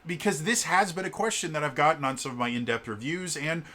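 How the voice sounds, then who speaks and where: A man with a deep voice giving a casual solo review of a tabletop game book, often stuttering on the first sound of a word between fillers. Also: fast talker, pushed and bright. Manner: stuttering restarts on words, many fillers.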